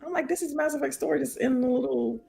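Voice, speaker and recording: A woman with an American accent co-hosting a gaming podcast, talking in an animated, emphatic way and repeating her points. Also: high voice, fast, gravelly, soft background music. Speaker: podcast co-host. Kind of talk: casual chat.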